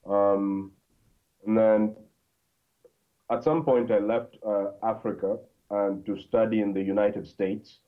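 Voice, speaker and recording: A man from Congo, a podcast guest, telling his story in a halting way with many fillers and restarts. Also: fairly low pitch. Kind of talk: conversational interview. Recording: tinny phone-like line.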